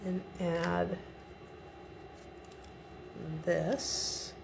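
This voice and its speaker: A woman's voice: lowish voice, flat delivery, very slow, creaky, background noise. A middle-aged woman giving a chatty step-by-step card-making tutorial at her home craft desk, sniffly from a cold. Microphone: overhead camera above the desk.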